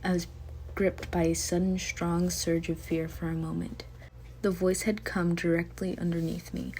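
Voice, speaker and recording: A woman's voice: on the low side, flat delivery, normal speed, mellow, slight background noise, low-quality recording. A woman getting over a cough, reading a horror story aloud at home in a casual, chatty way.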